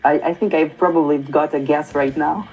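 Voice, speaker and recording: A woman working as an online English tutor, talking in a chatty, reflective way with soft laughs. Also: deep voice, steady tone, moderate pace, lo-fi sound, soft background music. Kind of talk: casual conversation.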